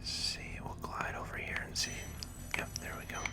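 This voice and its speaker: A gaming video host giving hushed, relaxed ASMR commentary in a breathy, unvoiced whisper while playing. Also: normal speed.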